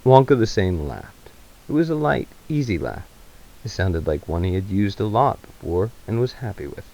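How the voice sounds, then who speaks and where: A man's voice: fairly low pitch, big pitch swings, moderate pace, darkish tone, poor audio. A man reading a story aloud as narrator, in a dramatic literary style. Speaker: narrator, reader.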